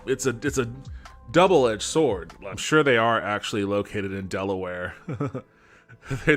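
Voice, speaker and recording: A man's voice: mid-range voice, a bit bright. A man giving casual, off-the-cuff commentary as a streaming host, with a sardonic, skeptical way of talking and some swearing. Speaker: host of a gaming podcast and stream.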